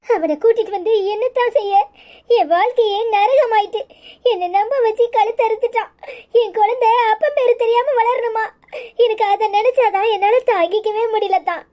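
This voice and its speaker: A woman's voice: extremely high pitch, normal speed, mellow. A woman playing a despairing young pregnant village woman in a melodrama, tearful. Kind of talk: melodramatic village serial acting.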